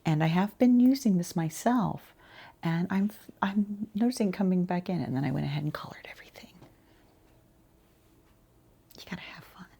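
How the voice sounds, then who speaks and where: A woman talking quietly in an ASMR shop roleplay, gentle and chatty, with a soft, breathy voice that often drops toward a whisper. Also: fairly low pitch, moderate pace, pauses often, slight background noise. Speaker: ASMR roleplayer as boutique shopkeeper and channel host.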